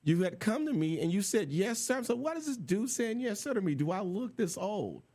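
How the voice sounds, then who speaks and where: Middle-aged radio host guy, jokey and teasing, higher voice that bounces all over the place. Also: sing-song, fairly quick, dark tone. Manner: jovial, teasing, laughs often. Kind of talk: casual interview chat.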